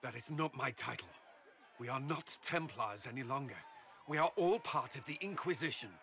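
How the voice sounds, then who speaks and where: An actor playing a stern, weary military commander in a video game, with a commanding, sardonic, clipped way of talking. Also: male voice, medium pitch, expressive, moderate pace, vocal fry, bright, forceful tone, slight background noise. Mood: firm. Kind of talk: dramatic video game dialogue.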